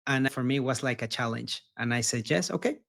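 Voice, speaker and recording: Mexican-accented founder, earnest and repetitive. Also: male voice, mid-range voice, brisk, gravelly, a bit bright. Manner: earnest, repeats words, non-native phrasing. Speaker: startup founder guest. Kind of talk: casual interview answers.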